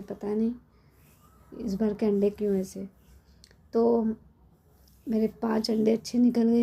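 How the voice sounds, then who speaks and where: A middle-aged woman with a hoarse, cold-worn voice, chatting casually as she explains a home recipe step by step in her kitchen. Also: a little high, warm tone. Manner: chatty, explains step by step with frequent tag questions. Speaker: cooking channel host. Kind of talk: casual cooking tutorial.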